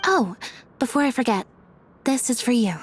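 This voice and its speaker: A woman voicing a composed, dryly candid young woman in a video game, measured and matter-of-fact. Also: on the high side, lively intonation, slight background noise, close to an everyday voice.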